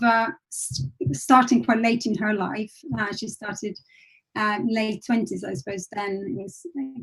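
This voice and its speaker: A woman's voice: mid-range voice, a little mellow, poor audio. A woman from Finland with a Finnish accent, casually recalling her own path as an interview guest in a reflective, hesitant way. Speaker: interview guest, animator and illustrator. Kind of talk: casual personal recollection.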